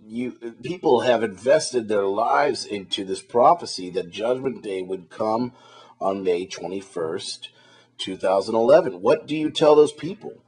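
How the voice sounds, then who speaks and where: A man with a fairly low, husky voice conducts a confrontational interview. He challenges his guest haltingly, restarting and repeating his questions. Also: a little mellow.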